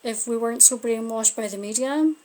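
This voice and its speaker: A woman's voice: on the high side, a little fast, warm tone. Chatty Scottish woman reading tarot. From Scotland. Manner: chatty, thinking aloud, trailing off. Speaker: tarot card reader. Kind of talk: casual live-stream tarot reading. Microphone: phone or webcam on a live stream.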